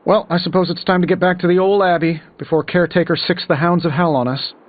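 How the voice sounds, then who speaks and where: An actor playing a cocky superhero inventor in a video game, sarcastic and quippy. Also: male voice, high voice, steady tone, quick pace, lightly acted. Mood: wry. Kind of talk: video game character dialogue. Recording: slightly muffled, music behind.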